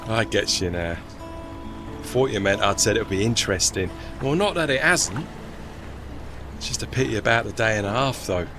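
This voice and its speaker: Guy doing humble little tugboat, colloquial and kind, audio play, lively voice w music under. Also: fairly low pitch, moderate pace, long pauses. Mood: wistful, fond. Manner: colloquial, unpolished grammar. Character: humble, kindly male tugboat, plain-spoken companion. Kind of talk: audio drama acting.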